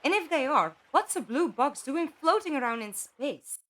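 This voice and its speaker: A woman's voice: high voice, lively intonation, normal speed, a bit bright. A woman playing a curious, playful explorer chatting inquisitively to herself in an audio drama. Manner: chatty, inquisitive self-talk.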